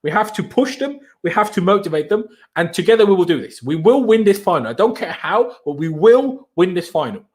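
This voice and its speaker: A young man, German by mother tongue, hosts a football live stream and reads out viewer questions. He speaks English with a German accent in a slightly high, chatty and animated voice. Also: a little fast, few pauses. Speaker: football channel host.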